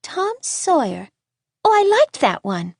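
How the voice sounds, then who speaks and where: A woman acts out a curious little girl from a storybook, pitched high. She is prim, chatty and sure of her opinions, in a dry, close recording. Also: wildly swooping pitch, takes it slowly, vocal fry, dry recording, full character voice. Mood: bright, opinionated. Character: bright, inquisitive, forthright young girl.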